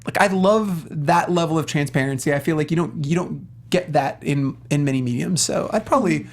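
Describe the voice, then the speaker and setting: A man's voice: on the high side, brisk. A man co-hosting a casual film discussion show, speaking with emphatic, earnest enthusiasm. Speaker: talk show co-host.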